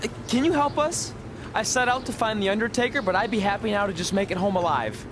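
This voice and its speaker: A man's voice: sits high, fast, background noise, natural voice. A man playing an exasperated, skeptical young reluctant hero in a video game, with a sarcastic manner. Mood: wary. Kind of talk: video game cutscene acting.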